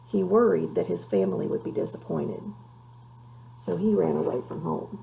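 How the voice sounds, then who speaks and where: A woman reading a children's storybook aloud in an expressive, measured way, pausing for dramatic effect. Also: low-pitched, creaky, slight background noise, low-quality recording. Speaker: storybook reader.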